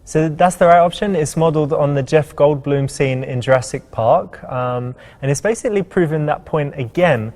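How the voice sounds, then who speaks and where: A man's voice: a little high, normal speed, few pauses, a little mellow. A man working as a dating coach, giving casual instructional commentary. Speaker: seduction coach presenting the game.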